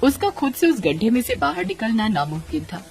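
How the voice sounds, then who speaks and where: A woman's voice: fairly high pitch, big pitch swings, a little fast, darkish tone, faint music behind. A woman narrating a scripted children's story as a storyteller.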